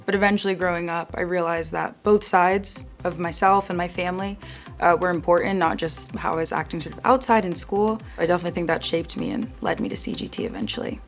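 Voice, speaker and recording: Young woman, fast talker, slightly low voice, upbeat reflective testimonial. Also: vocal fry, soft background music. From United States. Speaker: graduate student. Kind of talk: interview testimonial.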